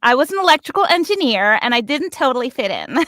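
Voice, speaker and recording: A woman's voice: high voice, sing-song, moderate pace, a bit bright, dry recording. A middle-aged American woman telling personal stories casually, in a playful, chatty way that often interrupts itself. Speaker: voice actor on a cast panel.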